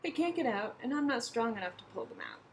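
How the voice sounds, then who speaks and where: A woman's voice: a little high, wildly swooping pitch, fast talker. Lady doing a worried little bush critter guy, earnest and jumpy, faint hum behind. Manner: fretful, earnest, exclaiming. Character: small worried male bush creature, earnest.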